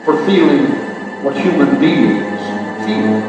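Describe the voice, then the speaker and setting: Guy doing a live poetry reading, super echoey hall, music going behind him, declaims with lots of pauses. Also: medium pitch, expressive, distant mic, lo-fi sound. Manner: deliberate, declamatory phrasing with frequent pauses. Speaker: poet reading own work. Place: indoor gallery reading.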